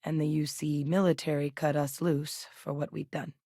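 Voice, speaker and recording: An actress playing a steady, determined female military scientist in a video game, with a serious, matter-of-fact manner. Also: sits low, moderate pace, no room sound, clean studio sound. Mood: measured, serious.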